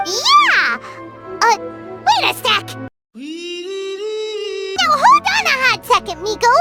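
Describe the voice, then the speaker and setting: A woman voicing a tiny, excitable, childlike fairy companion in an anime video game, squeaky, bubbly and blunt. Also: slow pace, soft background music, heavily acted. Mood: indignant surprise.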